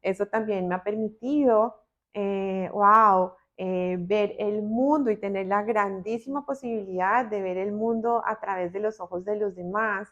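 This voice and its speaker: Podcast guest: middle-aged Colombian woman, mid-range voice, slow, deliberate delivery with thoughtful pauses. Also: mid-range voice, highs cut off. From Bogotá, Colombia. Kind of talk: reflective personal interview talk.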